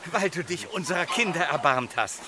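A grateful village judge in a radio play, acted by a man. His voice is high, with a crowd clearly heard behind him. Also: lively intonation, brisk, lightly acted.

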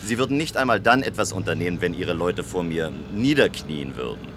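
An actor playing a proud, sceptical tower builder in a radio play, speaking in a pointed, argumentative way. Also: male voice, low-pitched, animated, a little fast, noisy background. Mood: defiant.

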